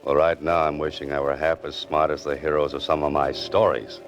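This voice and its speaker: An actor playing a wry young fiction writer caught up in crime, in 1940s radio style with an American accent and a sardonic, hard-boiled delivery. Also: male voice, extremely low pitch, flat delivery, a little fast, lo-fi sound, faint music behind. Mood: wry, brooding. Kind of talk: 1940s radio acting, first-person noir narration.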